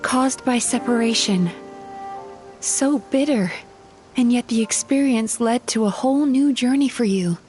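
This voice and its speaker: An actress playing a gentle young psychologist who tastes dreams, speaking softly and earnestly in a video game. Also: female voice, fairly high pitch, unhurried, soft background music, close to an everyday voice. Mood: tender. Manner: soft, reflective, earnest. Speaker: game character.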